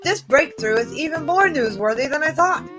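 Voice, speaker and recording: Woman playing a high, eager news reporter. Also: animated, moderate pace, music in the background. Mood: excited. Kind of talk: cartoon news-report parody.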